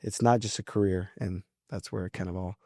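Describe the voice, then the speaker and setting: A man's voice: low voice, fairly even intonation, brisk, mellow, no room sound, clean studio sound. A man in his early twenties talking casually as an interview guest, polite and informal as he explains his approach. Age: early 20s. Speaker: podcast guest, real estate agent.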